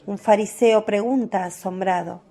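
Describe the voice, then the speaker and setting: A woman narrating a scripted religious radio drama in a measured storytelling manner. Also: mid-range voice, dark tone.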